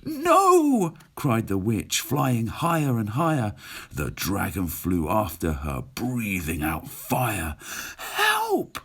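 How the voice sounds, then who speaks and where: Male storybook narrator: low, slightly husky, unhurried, very expressive with rhyming verse. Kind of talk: expressive read-aloud of rhyming children's story.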